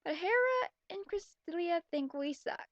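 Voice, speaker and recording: A woman's voice: very high voice, lively intonation, unhurried, no echo. A young woman reading a story aloud with blunt, mocking, exasperated commentary, on a slightly dull home recording. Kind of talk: sarcastic reading with running commentary.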